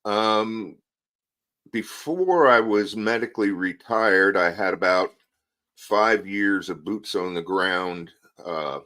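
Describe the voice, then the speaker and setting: A man in his sixties, a retired psychologist guest on a live talk show, speaking haltingly as he searches for words. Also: deep voice, a bit bright.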